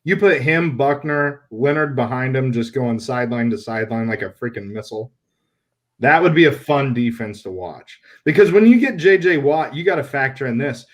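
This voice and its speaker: A man hosting a casual sports talk show, animated and blunt, with a loose, joking delivery. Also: mid-range voice. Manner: animated, laughs, swears casually. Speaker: podcast host.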